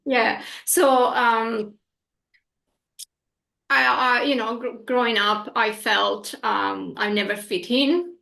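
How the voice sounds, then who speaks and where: A woman in her forties from Italy with an Italian accent, a guest telling her story in a lively, animated way, laughing often between many fillers. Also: on the high side, slow pace, vocal fry. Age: 40s. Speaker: guest, hypnosis practitioner. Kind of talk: casual interview chat.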